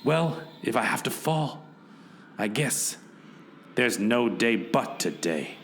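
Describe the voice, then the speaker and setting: A man's voice: mid-range voice, big pitch swings, takes it slowly, background noise. A man acting as the plucky narrator of his own mock-heroic mission in a radio play, with a theatrical, dramatic delivery. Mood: grave dread. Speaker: narrator and lead actor. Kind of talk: first-person radio play narration with acted dialogue. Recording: music behind the voice. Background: music.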